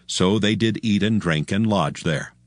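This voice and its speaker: Deep-voiced man narrating scripture. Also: unhurried, no room sound.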